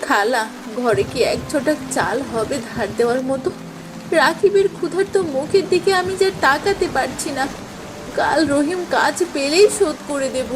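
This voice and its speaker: A woman's voice: high-pitched, lively intonation, normal speed, over music. A woman voicing a poor, anxious village mother in a moral-story cartoon, plaintive and pleading. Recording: faint rain noise behind.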